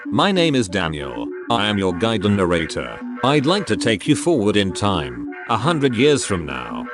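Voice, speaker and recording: A man playing a composed, wry artificial intelligence who narrates a scripted audio story in measured phrases. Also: on the low side, huge pitch swings. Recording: music under the voice.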